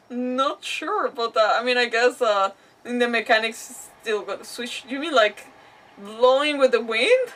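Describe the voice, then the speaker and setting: A woman's voice: a little high, lively intonation, a little slow, a bit bright, distant mic. A woman game streamer giving casual live commentary, thinking aloud with exclamations and mild swearing.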